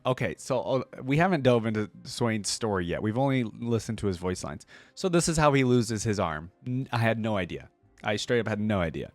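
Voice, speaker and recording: Guy doing casual reaction commentary and reading stuff out, kinda low voice, no room echo at all. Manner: informal, filler-heavy, exclamatory asides. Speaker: reaction video host.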